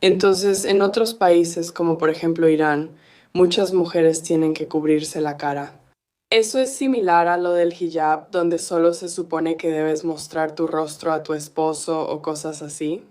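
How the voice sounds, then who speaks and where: A woman in the audience puts a question to the speaker. Her voice is low and mellow. She asks haltingly, feeling her way through it. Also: fairly even intonation. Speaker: audience member asking a question.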